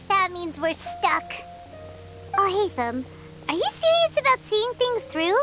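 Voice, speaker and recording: Squeaky little fairy sidekick, whiny, way up high, big game music behind. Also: female voice, heavily acted. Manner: whiny, exasperated, refers to herself in third person. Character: small floating female fairy companion, chatty, whiny. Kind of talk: game cutscene voice acting.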